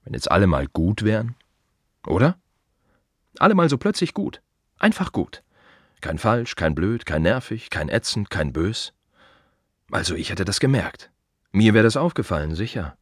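A musing gravedigger in a radio monologue, low voice that moves around a lot, dry wry humor, short repeated bits. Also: male voice, sing-song, normal speed, long pauses, slightly rough, no room sound. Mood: wry, questioning. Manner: reflective, wry, clipped fragments with repeated phrases. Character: plain-spoken, musing male gravedigger at a cemetery. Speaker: monologue narrator of a radio play. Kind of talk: German radio drama monologue, conversational.

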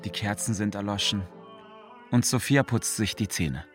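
A man's voice: lowish voice, moderate pace, fairly bright tone, soft background music, close to an everyday voice. An actor playing an articulate, well-read man in a radio play, explaining things in a colloquial, good-natured way. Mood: calm, explanatory. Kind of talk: radio play narration and dialogue.

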